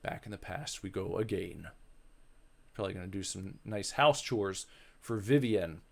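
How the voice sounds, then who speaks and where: A man's voice: sits low, expressive, moderate pace, vocal fry. A man reading a visual novel aloud as narrator, in a dramatic style with casual off-the-cuff asides.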